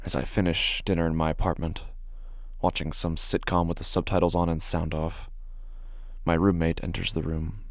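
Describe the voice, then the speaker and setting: Casting: man to play a fussy, nervous male office clerk telling his horror tale; deep, fry-edged voice, halting delivery. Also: level tone, no room sound, studio quality. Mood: measured, uneasy. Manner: halting, deliberate, stammers when agitated. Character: anxious, meticulous male office worker unsettled by his typos. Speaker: narrator of a horror story. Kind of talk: first-person dramatic horror narration.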